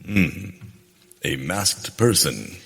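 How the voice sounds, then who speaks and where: A man playing a grave, loyal scout in a western radio play, speaking formally and deliberately. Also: low-pitched, animated, vocal fry, fairly bright tone, husky, full character voice. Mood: approving, curious. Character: solemn, loyal male trail companion and scout. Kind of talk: western radio theater acting.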